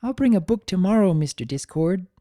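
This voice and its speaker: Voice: medium pitch, expressive, a little fast, mellow, no room sound, clean studio sound, voice pushed up, natural voice. A performer voicing a bouncy, earnest little pony girl with an eager, childlike delivery, in a dramatic fan fiction reading. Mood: conspiratorial, sweet. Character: Sunny, bouncy excitable little filly girl, earnest and playful.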